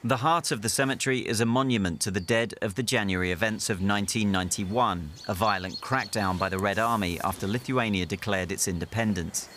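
A man reading scripted documentary news narration in a measured, even delivery. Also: fairly low pitch, a little hiss or hum. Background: music bed.

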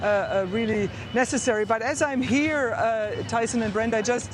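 A woman's voice: on the high side, normal speed, a crowd in the background. A woman with a German accent giving a live field report from outdoors, talking conversationally with frequent hesitations. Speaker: correspondent. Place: outdoors by a street outside a convention center.

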